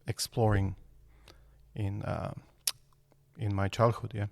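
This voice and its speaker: Brief: male guest in his fifties, slow and halting, gravelly low voice, dry close sound. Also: flat delivery, darkish tone, no echo. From Serbia. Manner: hesitant, frequent fillers. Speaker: guest architect. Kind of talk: podcast interview.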